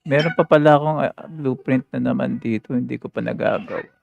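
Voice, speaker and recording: A man's voice: medium pitch, fast talker, vocal fry. A man with a Filipino accent giving casual live commentary while he plays a game, half talking to himself. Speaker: game livestreamer. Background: game music.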